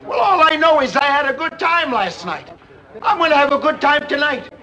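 A man's voice: very high voice, animated, moderate pace, pushed and bright, full character voice, rough recording. An actor playing a fed-up, lonely, blunt working man in his thirties in a 1950s film drama, speaking emphatically. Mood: defiant, impassioned. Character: fed-up, lonely, plain-spoken heavyset working man standing up to friends. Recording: vintage 1950s film soundtrack.

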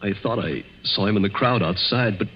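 A man's voice: fairly low pitch, a little fast, close to an everyday voice. Man acting a haunted man on muffled, hissy radio. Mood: haunted, fearful. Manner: tense, brooding, dramatic. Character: frightened, defiant young scientist, haunted and unnerved. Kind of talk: old-time radio thriller acting.

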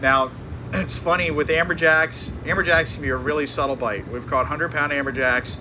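Flat-voiced man with a noisy background. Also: fairly high pitch, normal speed. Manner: conversational, many fillers and restarts. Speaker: charter fishing captain. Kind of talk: live seminar talk. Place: tackle shop seminar.

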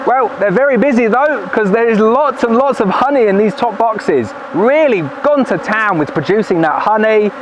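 A man's voice: high-pitched, expressive, moderate pace, few pauses, lo-fi sound, a little hiss or hum. A man in his thirties, a beekeeper, chatting upbeat as he works through a hive outside in the evening, recorded from a camera on a tripod. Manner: chatty, upbeat, talks while working with the hive. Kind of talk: casual hands-on video narration. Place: outdoor apiary at a forest edge, in the evening. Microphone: camera on a tripod at a distance, wearing a bee suit veil. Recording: faint outdoor bee buzz. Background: faint outdoor buzzing of bees.

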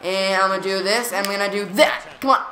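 A woman giving excited play-by-play of a hockey video game, stuttering and repeating words as she reacts. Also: medium pitch, a little fast. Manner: jumpy, reactive, lots of "come on" and "here we go". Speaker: gaming channel host. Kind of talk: excited live gameplay commentary. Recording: roomy echo.

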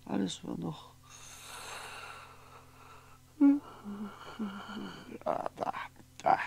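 An actor playing a lonely, brooding man in a radio drama monologue, musing haltingly to himself, wistful and wry, at times dropping to a whisper. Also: lightly acted. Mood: dreamy, brooding. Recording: noisy 1960s radio recording, faint hum.

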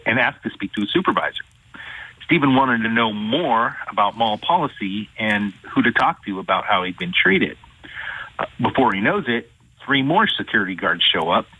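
Man, attorney, phone-in guest, measured, husky voice, tinny phone line. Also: fairly low pitch. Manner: measured, recounting a case. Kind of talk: phone-in interview.

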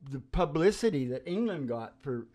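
A man's voice: on the high side, very animated, moderate pace, warm tone. An older man hosting a music show, chatting casually and telling rambling, jokey stories. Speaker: musician and radio show host. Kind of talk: casual joking banter between songs. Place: small wood-panelled room. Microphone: stand microphone up close. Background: acoustic guitar.

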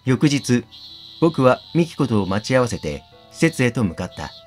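A man voicing an earnest, hesitant young male student playing detective in an adventure game, speaking deliberately. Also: mid-range voice, sing-song, soft background music, lightly acted.

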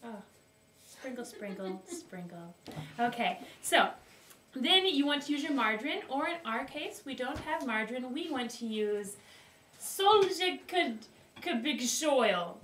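A woman's voice: high-pitched, expressive, unhurried, a bit bright. A young woman hosting a homemade cooking video, presenting in a playful mock-formal way and giggling often, heard from a distant camera in a small wooden kitchen. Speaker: host of a home cooking video. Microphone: far camera. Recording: roomy, distant, faint hiss.

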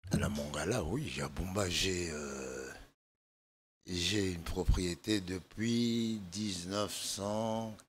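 An older man with a very low, hoarse and gravelly voice talks slowly in a studio interview. He is measured and halting, filling pauses as he goes, with a faint hum of room noise behind him. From Democratic Republic of the Congo. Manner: measured, halting with frequent fillers. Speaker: political guest. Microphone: desk microphone.

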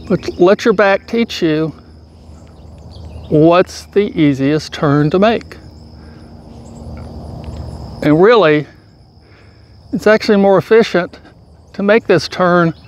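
A middle-aged man with a fairly high voice talks through a golf drill slowly and patiently, one step at a time. He is outdoors on a grassy field, picked up from a camera some distance away, with crickets and insects chirping behind him. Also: muffled recording. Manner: calm, patient step-by-step instruction. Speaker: golf instructor. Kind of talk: instructional golf tutorial. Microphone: far camera, full-body framing.

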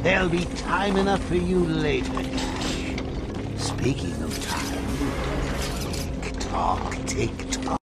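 A man's voice: a little high, big pitch swings, slow pace, pauses often, loud music behind the voice, heavily acted. An actor playing a manic, taunting male clown villain in a video game, theatrical and sing-song. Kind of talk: video game cutscene acting.

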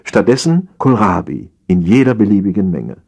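Deep-voiced man narrating with animated pitch. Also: moderate pace, breathy edge. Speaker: audiobook narrator. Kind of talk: scripted humorous story narration.

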